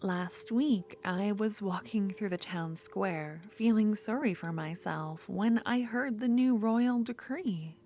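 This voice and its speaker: A woman playing an obsessive, unhinged female duelist rival in an ASMR fantasy roleplay, speaking intimately and often slipping toward a breathy whisper. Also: medium pitch, slow, hardly any pauses, dark tone. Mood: brooding, gloating.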